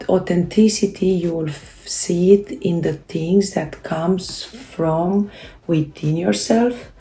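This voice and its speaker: A woman whose first language is Romanian talks slowly and haltingly, often stopping to restart her sentences. Her voice is gravelly, fairly low and mellow. The recording has a noticeable room echo. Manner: halting, many fillers and restarts. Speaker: self-help creator. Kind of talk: casual motivational talk.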